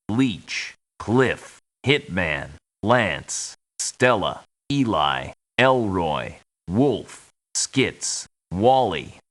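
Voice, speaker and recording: An actor playing a surly, touchy mercenary in a video game, talking in a blunt, resentful manner. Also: male voice, fairly low pitch, a bit bright, full character voice. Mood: flat roll call. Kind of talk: video game character voice lines.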